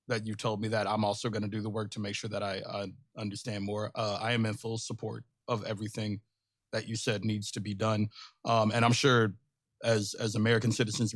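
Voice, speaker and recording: A man's voice: lowish voice, no room sound, clean studio sound. A man hosting an interview, responding attentively and thoughtfully with a few fillers. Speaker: interviewer, host. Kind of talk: casual spoken interview.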